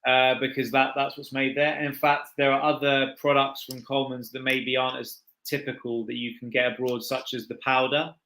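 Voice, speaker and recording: A man's voice: mid-range voice, flat delivery, a little fast, hardly any pauses, sharp tone, rough recording. A middle-aged man from Norwich with a Norwich accent, chatting casually as a guest and laughing easily. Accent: Norwich, England. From Norwich, England. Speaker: guest expert. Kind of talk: casual chat.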